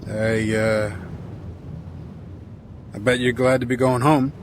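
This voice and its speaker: An actor playing a humble, curious sailor who talks haltingly and stammers with deference. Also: male voice, medium pitch, level tone, background noise, lightly acted. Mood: hesitant, curious. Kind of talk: audio drama acting.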